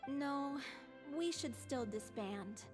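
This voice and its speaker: A woman playing a frail, gentle young actress recovering from illness in an anime video game, speaking earnestly, with reverb. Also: high-pitched, lively intonation, slow, over music. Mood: resigned, gentle.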